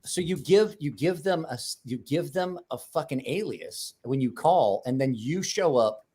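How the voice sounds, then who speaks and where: A man's voice: a little high, expressive, normal speed, darkish tone, husky. A man talking casually as a livestream guest, rambling with many restarts and swearing.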